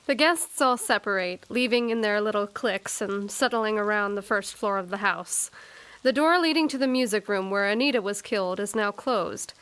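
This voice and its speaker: A woman's voice: medium pitch, normal speed, fairly bright tone, clean tone, close to an everyday voice. A woman narrating an audio drama, scripted storytelling broken by informal asides.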